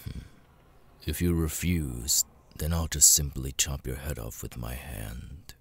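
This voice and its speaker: A man voicing a cold, arrogant immortal demon lord in an ASMR roleplay, speaking with deliberate, condescending menace. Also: exaggerated voice. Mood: cold threatening. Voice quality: very deep, gravelly, husky. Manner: slow, deliberate, condescending, with long pauses.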